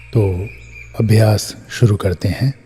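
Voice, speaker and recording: A man guiding a scripted meditation in a measured way, pausing between short phrases. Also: fairly low pitch, steady tone, dark tone, faint music behind. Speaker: meditation guide. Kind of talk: scripted guided meditation, instructional narration.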